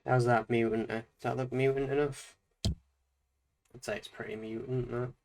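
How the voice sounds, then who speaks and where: A man with a Northern English accent, chatting casually during a drawing livestream, his voice croaky and a little deeper than usual from a slight hangover. He talks in a relaxed way, with frequent laughs. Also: moderate pace. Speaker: streamer.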